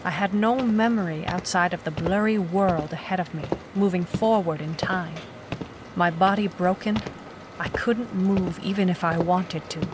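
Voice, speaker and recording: A woman's voice: lowish voice, fairly even intonation, moderate pace, background noise, natural voice. An actress playing a hardboiled young woman private eye, wry and businesslike, in a noir audio drama. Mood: grim narration. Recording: music bed under the voice. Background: music.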